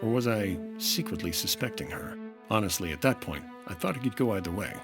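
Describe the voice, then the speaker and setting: Hard-boiled radio investigator: dry, clipped, wry, very animated mid-range voice, faint music underneath. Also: male voice, lowish voice, brisk. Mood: dry, businesslike. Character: hard-boiled 1950s insurance investigator, wry, persistent man. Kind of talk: 1950s radio detective acting and narration.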